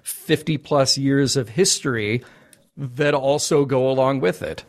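A man's voice: mid-range voice, a little slow. A man hosting a live podcast with casual chat and a playful, joking manner. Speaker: podcast host.

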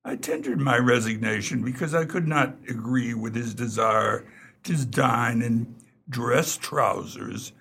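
Unflappable formal valet in a radio drama, unhurried, gravelly, super polite. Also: male voice, mid-range voice, fairly bright tone, slight background noise. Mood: formal, composed. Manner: deferential, measured, formal, understated. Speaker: valet character in dialogue. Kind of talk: radio play acting.

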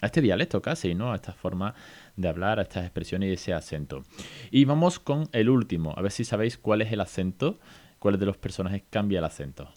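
A man hosting an educational podcast, chatty and relaxed, often asking his listeners questions. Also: deep voice, fairly quick. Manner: chatty, many fillers, asks listeners questions. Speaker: podcast host. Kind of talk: casual educational podcast talk.

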